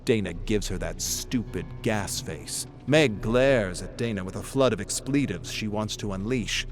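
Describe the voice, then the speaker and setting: A man's voice: fairly low pitch, unhurried, music in the background. A man narrating a scripted story in a dramatic style.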